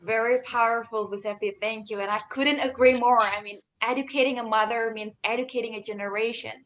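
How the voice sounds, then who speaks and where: A woman's voice: on the high side, fairly even intonation, fairly quick, fairly bright tone, slight background noise. A woman moderating an online panel discussion in an affirming, encouraging way, on muffled call audio. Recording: dull, band-limited call audio.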